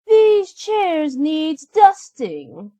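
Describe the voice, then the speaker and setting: A woman voicing a spiteful young stepsister in a children's storybook, complaining in drawn-out words. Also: very high-pitched, sing-song, warm tone, no echo, voice pushed up, exaggerated voice.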